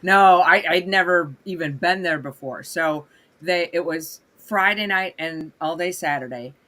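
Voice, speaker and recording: A woman from Minnesota, a guest on a livestream, chatting in a lively storytelling way with a voice hoarse from a cold. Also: lowish voice, unhurried. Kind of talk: casual livestream conversation.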